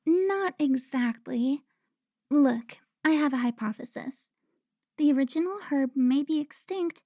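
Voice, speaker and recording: A woman as teasing vampire seductress, high-pitched, unhurried drawl, theatrical, smug and playful, audio drama. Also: mellow, no room sound, studio quality. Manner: taunting, drawn-out, theatrical. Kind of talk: cinematic audio roleplay acting.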